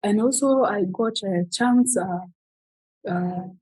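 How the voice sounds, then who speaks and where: A woman's voice: mid-range voice, warm tone, breathy edge, no room sound, rough recording. A young woman in her twenties from South Sudan, a footballer answering interview questions with frequent fillers and restarts. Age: 20s. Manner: halting, many fillers and restarts. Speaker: guest, footballer.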